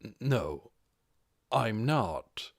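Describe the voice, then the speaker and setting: A man's voice: on the low side, very animated, very slow, creaky, no room sound, studio quality, exaggerated voice. A man voicing a reserved, somewhat awkward male adventurer in armor for an audiobook, terse and hesitant. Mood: flustered. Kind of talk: audiobook character voice.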